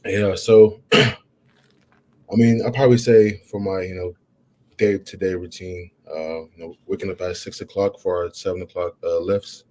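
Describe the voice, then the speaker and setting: A young man, a college football player, answering interview questions in a halting way, with many "you know" fillers and restarts. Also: low voice, steady tone, darkish tone. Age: young adult.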